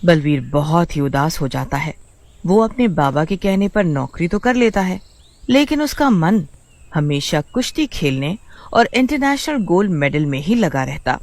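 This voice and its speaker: Woman storyteller, Indian accent, lively varied pitch, scripted dramatic narration. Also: unhurried, no room sound.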